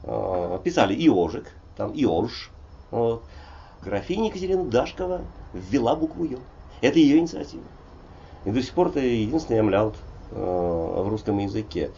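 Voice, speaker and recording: A man in his sixties from Russia, a poet talking in a relaxed interview, rambling and digressive with many hesitations. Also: lowish voice, moderate pace, creaky, muffled recording, a little hiss or hum. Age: 60s. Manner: digressive, frequent hesitations and "vot" fillers. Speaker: poet and polyglot guest. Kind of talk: conversational interview, discursive storytelling.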